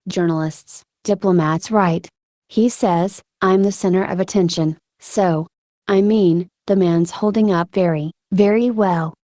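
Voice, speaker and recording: A woman giving reflective interview answers in a halting, thoughtful way with frequent filler words. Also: medium pitch, warm tone, no room sound, clean studio sound. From Ukraine. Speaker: military combat medic being interviewed.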